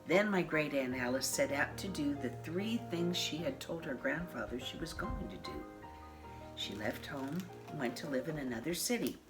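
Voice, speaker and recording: Older-ish woman reading a kids book, really low voice, expressive, music playing behind. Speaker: storybook reader. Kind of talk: children's picture book read-aloud. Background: classical piano music.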